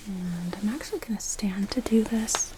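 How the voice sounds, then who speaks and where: A woman whispering in a breathy, gentle, explanatory way, as an audiologist in an ASMR medical roleplay. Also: mid-range voice, normal speed, noisy background. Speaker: ASMR performer playing an audiologist.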